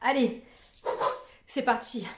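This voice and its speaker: A woman's voice: on the high side, slow, echoey room, background noise. A young woman chatting casually as a fellow vlogger, slangy and playful, swearing now and then. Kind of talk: casual chat.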